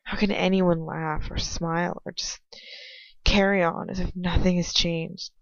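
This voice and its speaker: Low-voiced woman reading fiction, creaky, lo-fi. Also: flat delivery, dry recording. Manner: halting, stumbles and rereads phrases. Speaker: amateur audiobook reader. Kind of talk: fan fiction narration, read aloud in first person. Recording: dull lo-fi home recording.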